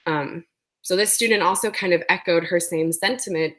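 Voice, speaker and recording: A woman's voice: lowish voice, moderate pace, a bit bright. A woman presenting an educational webinar, speaking with a sore throat and explaining things with frequent ums.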